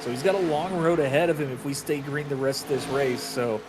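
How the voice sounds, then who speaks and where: Male racing analyst over loud engine noise. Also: medium pitch, a little fast. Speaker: racing co-commentator. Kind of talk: conversational motorsport analysis.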